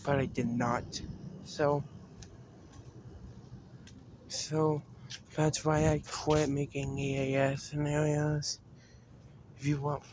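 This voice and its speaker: Halting, flat-toned man on a noisy recording. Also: a little high. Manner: halting, repeats and restarts phrases. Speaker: video creator announcing a decision to fans. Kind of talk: unscripted vlog-style talk.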